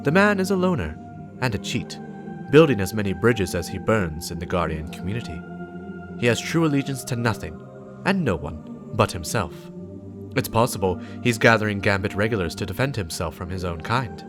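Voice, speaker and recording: Low, solemn man reading as a warlock investigator. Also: big pitch swings, fairly quick. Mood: warning. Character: grave, suspicious warlock investigator briefing a guardian. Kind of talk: dramatic reading of game lore. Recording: music behind the voice. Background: game soundtrack music.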